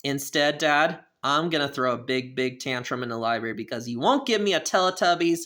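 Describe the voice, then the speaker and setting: A woman's voice: extremely low pitch, animated, fairly quick, a bit bright. A woman voicing a bratty boy who whines, defies and demands. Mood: defiant. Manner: whiny, defiant, demanding. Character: bratty whiny boy throwing a tantrum.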